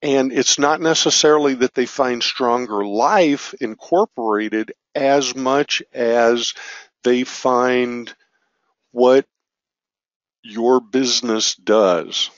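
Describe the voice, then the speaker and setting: A man with a raspy voice from allergies, teaching an online business course in a loose, self-correcting way with frequent fillers. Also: mid-range voice, takes it slowly, no echo, dull audio. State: raspy from allergies, occasional cough. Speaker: business marketing instructor. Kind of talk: screen-share course walkthrough.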